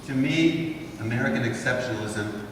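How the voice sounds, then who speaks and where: A man's voice: medium pitch, normal speed. A man in his fifties, originally from Honduras, giving a lecture to students in a large hall and posing rhetorical questions to the audience. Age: 50s. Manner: rhetorical, asks the audience to think. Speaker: guest speaker. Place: large wood-paneled hall, indoors. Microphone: far camera, no mic seen. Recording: echoey hall from far off, noisy.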